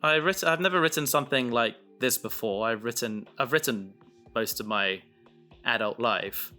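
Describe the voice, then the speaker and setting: A man talks casually and reflectively in a bright, forward voice. He pieces thoughts together as he speaks, in a halting way. Soft guitar music plays faintly behind him. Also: mid-range voice. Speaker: podcast creator and host.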